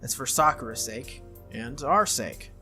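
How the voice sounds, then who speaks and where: A brisk teenage schoolgirl from an anime visual novel, acted out by a playthrough reader. She is confident and needling, with a bright, swooping delivery over faint music. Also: mid-range voice, lively intonation. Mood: firm.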